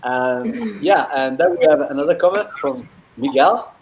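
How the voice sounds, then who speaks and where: A man co-hosting a live stream, reading viewer comments aloud and greeting them warmly by name. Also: a little high, lively intonation, unhurried.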